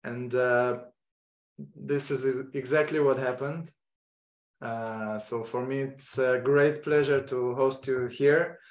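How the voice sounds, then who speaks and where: Israeli-accented male host, halting delivery full of fillers, roomy echo. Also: mid-range voice, steady tone. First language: Hebrew. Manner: halting, many fillers and restarts. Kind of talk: online lecture introduction. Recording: echoey room.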